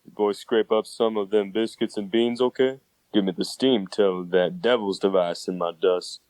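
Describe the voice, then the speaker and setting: A man's voice: fairly low pitch, steady tone, normal speed, a little mellow, no echo, natural voice, rough recording. A man playing a proud, folksy railroad hammering man with blunt, countrified speech in a comic dub. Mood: jovial, bossy. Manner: drawling folksy dialect, blunt. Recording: voice under background music.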